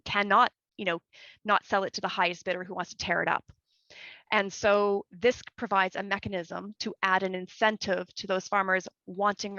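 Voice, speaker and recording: A bright, passionate woman with a Canadian accent answers a conference question over a remote call. Her voice is crisp and up-front, dry with no room sound, though a little dull and lo-fi. She speaks with emphatic conviction and often repeats a word as she starts a thought. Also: mid-range voice, no echo. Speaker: guest speaker. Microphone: remote video call.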